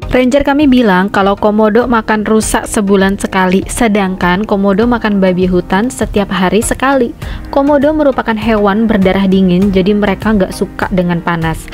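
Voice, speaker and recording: A woman's voice: mid-range voice, normal speed, darkish tone, faint music behind. A young woman from Indonesia, a travel vlogger, narrating her trip in a chatty, upbeat way and mixing in English phrases. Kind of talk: vlog voice-over and on-the-spot chat. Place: outdoors on a forest trek.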